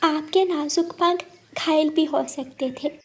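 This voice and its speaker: A woman's voice: sits high, fairly even intonation, slow, darkish tone, pitched above the performer's own voice. A woman voicing a kind, helpful little female cartoon bird, polite and curious. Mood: concerned, curious.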